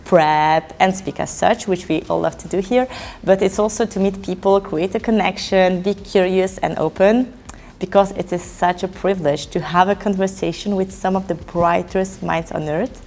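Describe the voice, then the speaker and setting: A woman on an agency team, speaking in an interview in a measured, admiring way. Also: lowish voice, creaky, darkish tone, a little hiss or hum.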